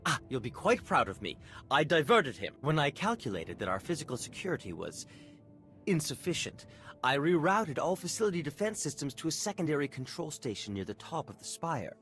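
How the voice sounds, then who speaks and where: A man playing a vain, excitable engineer in a sci-fi game, wordy and theatrical. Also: a little high, a little fast, soft background music. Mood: boastful. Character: vain, excitable male exotechnology engineer, relieved and self-important.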